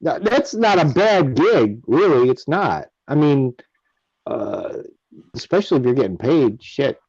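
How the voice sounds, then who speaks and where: A man's voice: medium pitch, very animated, a little slow, a little mellow, slightly rough, no echo, muffled recording. A man chatting casually in an easygoing way, with drawling asides. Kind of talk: casual conversation.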